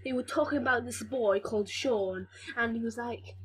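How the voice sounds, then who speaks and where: A woman with a fairly high voice tells a silly story off the cuff. She is playful and rambling in her informal delivery. Faint noise sits behind her. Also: moderate pace. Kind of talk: informal, improvised comic storytelling.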